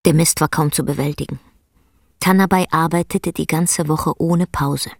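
A woman narrating a literary radio play in a measured storytelling delivery. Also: sits low, fairly even intonation, creaky, dry recording, clean studio sound. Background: faint music.

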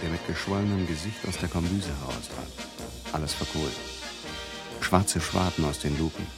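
An actor playing a composed adventurer who recounts sea disasters and journeys as literary narration in a radio play. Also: male voice, low-pitched, steady tone, moderate pace. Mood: dramatic recounting. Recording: studio radio drama with music behind.

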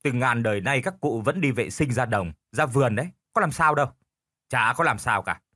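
A man's voice: medium pitch, lively intonation, fairly quick, pushed and bright, no room sound, clean studio sound. A man voicing a stubborn, lazy older husband who grumbles, argues and scolds in a storybook. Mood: stubborn, dismissive.

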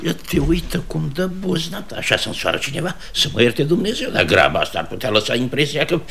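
An actor playing a pompous, fussy mayor and family patriarch in a radio comedy, ceremonious and long-winded. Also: male voice, on the high side, big pitch swings, vocal fry, a bit bright, slight background noise, exaggerated voice. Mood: irritated, bustling. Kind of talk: theatrical radio comedy acting.

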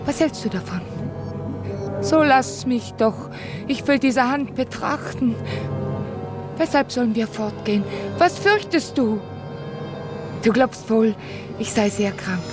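An actress playing an enigmatic, fearful, visionary woman in a literary radio drama, dreamy and halting, full of questions. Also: fairly high pitch, animated, music in the background. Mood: anxious, transfixed.

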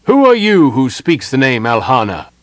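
A man's voice: mid-range voice, huge pitch swings, moderate pace, fairly bright tone, no room sound. A man voicing a stern, wary male elf in a fantasy audiobook, formal and challenging.

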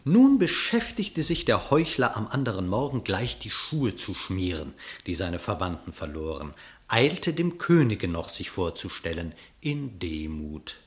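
A man's voice: low-pitched, unhurried. A man narrating a verse fable in a radio play, telling it expressively. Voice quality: expressive storytelling, dramatic.

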